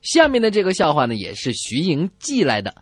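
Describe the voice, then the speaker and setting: Animated husky joke-telling radio co-host. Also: medium pitch, fairly quick, dry recording, studio quality. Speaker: co-host of a comedy radio show.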